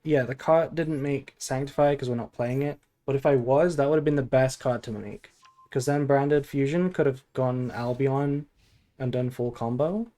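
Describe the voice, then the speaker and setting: A sleepy man chatting casually on a game stream, musing aloud in a low-key way. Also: moderate pace, mellow. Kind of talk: casual gameplay stream chat and deck analysis.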